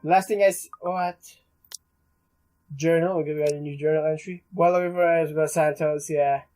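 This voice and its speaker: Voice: medium pitch, fairly even intonation, normal speed, warm tone, poor audio. A let's player reading a visual novel aloud in a dramatic, stumbling way and reacting along the way. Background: game music.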